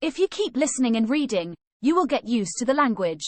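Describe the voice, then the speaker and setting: A woman's voice: fairly high pitch, very animated, brisk, dry recording. A woman reading a scripted language lesson aloud, moving from sample questions and answers to study tips.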